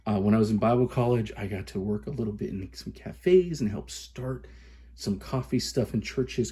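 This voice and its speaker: Excited Californian man, husky and warm. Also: mid-range voice. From Southern California. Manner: excited, chatty, many fillers and restarts. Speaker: coffee reviewer. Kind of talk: casual vlog chat.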